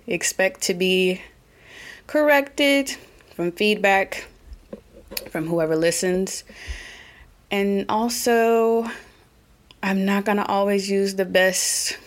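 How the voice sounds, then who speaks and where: A woman with an Oklahoma twang hosting her own solo podcast, talking earnestly and reflectively, pausing and restarting as she thinks aloud. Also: medium pitch. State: a little nervous. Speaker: podcast host.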